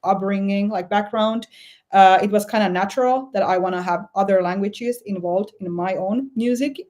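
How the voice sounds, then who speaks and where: A woman's voice: medium pitch, moderate pace, a little mellow. A woman from Finland with a Finnish accent, a guest musician answering interview questions thoughtfully and with some hesitation. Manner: thoughtful, hesitant, many "like" fillers.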